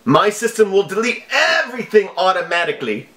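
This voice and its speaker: A man voicing a menacing, over-dramatic evil hacker villain in a made-up foreign accent, slow and theatrical. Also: high voice, sing-song, bright, forceful tone, voice pushed up, exaggerated voice. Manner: slow, theatrical, deliberate delivery with broken English.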